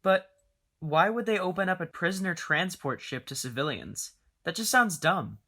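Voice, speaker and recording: A woman's voice: on the low side, animated, normal speed, sharp tone, slightly rough, full character voice. A woman in an amateur audio drama playing a skeptical young passenger with doubting, teasing questions.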